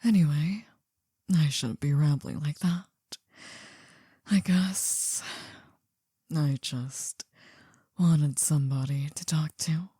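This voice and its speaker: ASMR roleplay: older mafia boss lady played by a woman, low-pitched husky whisper, possessive, coaxing with quiet menace, unhurried. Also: dry recording, studio quality, full character voice. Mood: wistful, confiding. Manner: slow, hushed, deliberate. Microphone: close dry microphone.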